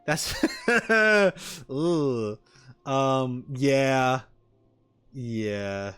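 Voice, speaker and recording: A man reacting casually on a livestream, wry and halting, often repeating his phrases. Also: mid-range voice, lively intonation, fairly bright tone, clear, resonant tone, soft background music. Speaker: streamer reacting to game audio.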